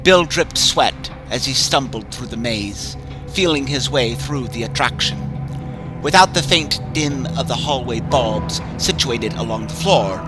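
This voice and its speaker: A man narrates a horror story in a measured, suspenseful way. His voice sits at an ordinary middle pitch. A clear music bed plays under him. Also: rough recording. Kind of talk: dramatic horror story reading.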